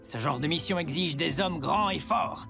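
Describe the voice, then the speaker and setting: A man's voice: on the high side, moderate pace, creaky. A man voicing a cocky, bossy young eldest son in a French cartoon dub, boastful and teasing. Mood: mocking. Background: music.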